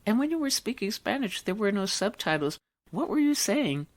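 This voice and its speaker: A man's voice: high voice, big pitch swings, moderate pace. A man working as a radio interviewer, putting prepared, formal questions to a guest.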